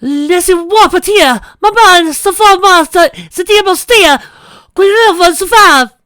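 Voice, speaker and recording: A man's voice: slow pace, sharp tone, dry recording, studio quality, full character voice. A man voicing a bound, frantic teenage girl who shrieks. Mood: terrified. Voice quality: shrieking falsetto.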